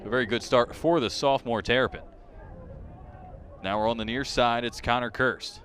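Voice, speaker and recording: A man's voice: medium pitch, normal speed, a little hiss or hum. A male sports commentator delivers live play-by-play in a mid-range voice. He follows the action closely and raises his intensity as it builds. Faint outdoor ambience sits behind him. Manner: excitement rises sharply on big plays.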